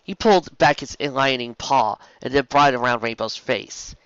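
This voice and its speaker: A man's voice: mid-range voice, normal speed, a bit bright, smooth, no room sound, muffled recording. A man narrating a fantasy story as an amateur audiobook reader.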